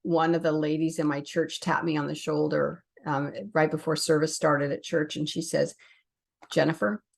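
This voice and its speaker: A woman's voice: sits low, flat delivery, normal speed, gravelly. A woman hosting a podcast as a novelist, talking casually and chattily in a conversational interview.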